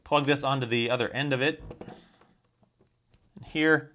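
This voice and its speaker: A man's voice: medium pitch, fairly even intonation, measured pace, bright, forceful tone, slight background noise. Matter-of-fact American man reviewing a product. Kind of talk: informal product demonstration and review.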